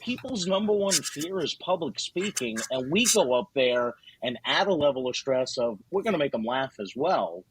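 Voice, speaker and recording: A man's voice: medium pitch, very animated, moderate pace, hardly any pauses, slightly rough, poor audio. A man co-hosting a comedy podcast, a comedian himself, talking earnestly in a casual chat.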